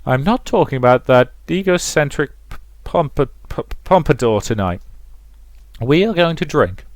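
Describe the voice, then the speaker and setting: A man voicing a bossy, warm-hearted, tipsy young woman from a visual novel, read aloud. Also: fairly high pitch, animated, normal speed, no room sound, voice pushed up, close to an everyday voice. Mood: tipsy, insistent.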